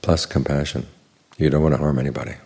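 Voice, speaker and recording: Deep, gravelly-voiced man giving a Dharma talk. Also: fast talker. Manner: calm, explanatory, repeats small words. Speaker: Buddhist teacher.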